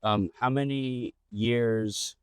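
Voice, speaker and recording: A man hosting a casual podcast interview, relaxed and rambling, with lots of fillers and restarts. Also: medium pitch, very slow, clear, resonant tone, dry recording. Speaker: podcast host. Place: outdoors in an old town district.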